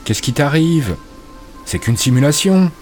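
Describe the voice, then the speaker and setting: Calm soldier, steady, compassionate tone; a male narrator voices him. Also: a little high, a little slow, soft background music, pitched above the performer's own voice.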